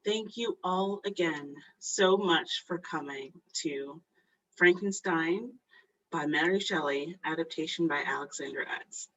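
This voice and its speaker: A woman's voice: sits low, a little slow, a bit bright, a little hiss or hum. A woman hosting, reading out a cast list as a curtain-call announcement. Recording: dull audio, faint music.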